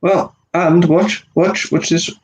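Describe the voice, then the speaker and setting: A man's voice: a little high, steady tone, takes it slowly, low-quality recording. A man with a head cold, chatting casually and laughing easily as co-host over a video call. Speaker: podcast co-host.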